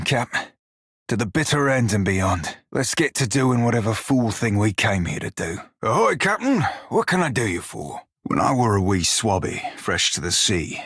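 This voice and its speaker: Game pirate shipmate: rough, husky, close dry sound, plain-spoken, wry and salty-tongued. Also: male voice, lowish voice, fairly bright tone, dry recording. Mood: grimly loyal, then wry, breezy, then guarded, sincere. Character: gruff, foul-mouthed furry blue male pirate shipmate. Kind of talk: video game character dialogue.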